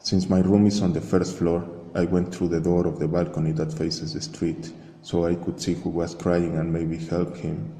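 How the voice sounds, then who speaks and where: A man reading a scripted first-person story in a measured, monotone, reflective way. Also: sits low. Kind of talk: slow scripted first-person narration, spoken-word art piece. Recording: echoey long reverb, faint hiss.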